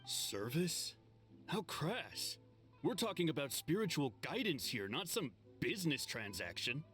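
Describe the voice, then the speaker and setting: A snobbish young devotee in an anime game, his voice fairly high and very animated. He sounds touchy and gushing, with light music underneath. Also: unhurried. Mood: haughty, offended. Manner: snobbish, gushing, easily offended. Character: haughty, touchy young male devotee of a counselor.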